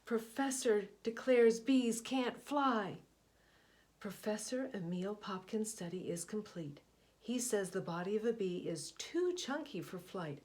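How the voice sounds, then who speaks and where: A woman reading a picture book aloud, expressive, often dropping to a hushed whisper. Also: mid-range voice. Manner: expressive, slow, hushed asides. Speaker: storybook reader.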